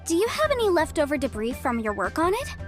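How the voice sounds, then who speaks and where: An actress playing a confident young legal advisor in a game, brisk, self-assured and a little playful. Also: female voice, sits high, wildly swooping pitch. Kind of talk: game voice acting. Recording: studio voice over game music.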